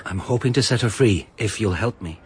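A man's voice: lowish voice, a little fast, husky. An actor playing a determined man trying to prove a woman innocent, in a radio drama. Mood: urgent. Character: earnest protective man, determined to help. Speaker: McWhirter, amateur investigator. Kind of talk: BBC radio drama acting.

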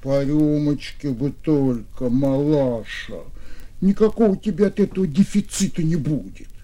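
A man playing a meek, timid husband, pleading slowly and wheedling in a radio story. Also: a little high, dark tone, close to an everyday voice.

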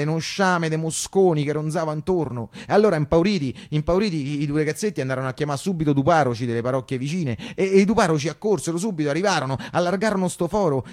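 A man's voice: on the high side, darkish tone, close to an everyday voice. An actor playing an anguished working-class Roman man, telling of wartime horrors in an insistent, colloquial theatrical monologue with a Roman accent. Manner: insistent repetition, rhetorical questions, colloquial. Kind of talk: theatrical radio monologue storytelling.

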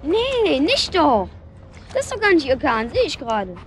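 A woman's voice: high voice, very animated, normal speed. A woman in a German radio drama playing a helpful, casual, slightly cheeky youngster who talks matter-of-factly. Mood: casual, amused. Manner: casual, matter-of-fact, colloquial.

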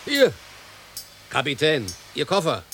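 A man's voice: a little high, wildly swooping pitch, slow pace, gravelly, sharp tone, a little hiss or hum, exaggerated voice. An actor playing an obliging, hardy coachman in a radio play, plain-spoken and familiar with his guest. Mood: hearty, brisk. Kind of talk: German radio play acting.

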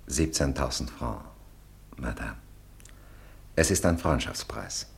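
An actor playing a courteous, formal landlord in an old radio play. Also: male voice, very deep voice, slow. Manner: polite, formal, soothing. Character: courteous Parisian landlord, older man. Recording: old mono radio drama.

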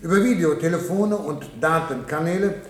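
An actor playing an authoritative, smoothly evasive project director in a 1970s radio drama, speaking in formal, measured lecturing phrases. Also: male voice, on the high side, lightly acted. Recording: roomy echo of 1970s radio play.

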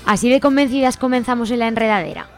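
A woman's voice: fairly high pitch, quick pace. Woman reading a script over music, Spanish accent. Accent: Spain. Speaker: radio show presenter. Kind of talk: scripted manifesto-like narration. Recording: music behind the voice.

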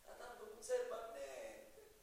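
A man's voice: very high-pitched, expressive, slow, full character voice. An actor playing a jailed mafia killer in a theatrical stage monologue, sly, mocking and menacing as he wheedles a judge, moving between murmurs and outbursts. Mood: menacing, coaxing. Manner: mocking, insinuating, swinging from hushed murmurs to loud outbursts. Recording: very echoey, distant, hissy live stage recording.

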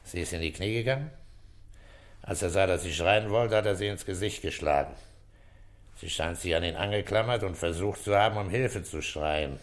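An actor playing a calm, weary, shrewd senior police inspector in an old German radio crime drama, speaking with deliberate, paternal authority. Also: male voice, low-pitched, lightly acted. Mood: probing. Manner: deliberate, authoritative, explaining step by step.

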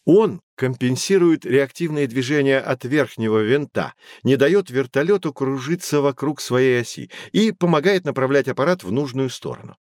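A man's voice: mid-range voice, expressive, moderate pace, few pauses, darkish tone, dry recording, studio quality, natural voice. A man playing a learned, patient guide who explains things to children in formal, didactic phrases in an educational audio drama. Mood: explanatory.

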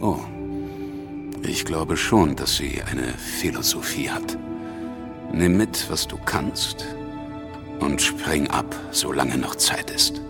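Very deep-voiced, wry revolutionary politician. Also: male voice, takes it slowly, creaky, pauses often, exaggerated voice. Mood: wry, cynical. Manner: ironic, bantering. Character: wry, worldly male revolutionary politician, teasing and cynical. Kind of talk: German radio drama dialogue. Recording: music playing behind the voice.

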